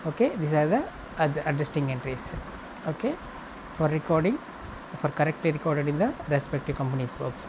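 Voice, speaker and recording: A woman with a low voice teaches in a step-by-step tutorial style. She speaks in short, halting segments and often restates her phrases. The recording has clear background noise and hum. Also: expressive, muffled recording. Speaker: accounting tutor. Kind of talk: step-by-step tutorial, reading points and explaining.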